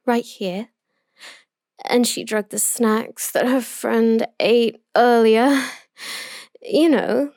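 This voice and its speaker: Woman acting an obsessive, high-voiced lovesick young woman. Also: no room sound, clean studio sound. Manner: slow, deliberate, emotionally intense storytelling. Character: obsessive, possessive young woman yandere confessing to best friend. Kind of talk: intimate roleplay audio monologue.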